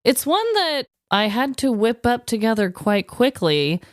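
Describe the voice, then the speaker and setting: A woman co-hosting a podcast with an expressive, dramatic way of talking, both bantering and telling stories. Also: on the high side, unhurried, no echo, studio quality. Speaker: podcast co-host. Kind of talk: casual banter and scripted comic storytelling.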